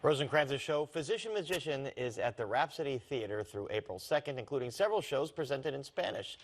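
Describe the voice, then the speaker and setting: Brisk male news anchor. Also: medium pitch, lively intonation. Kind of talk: scripted news sign-off.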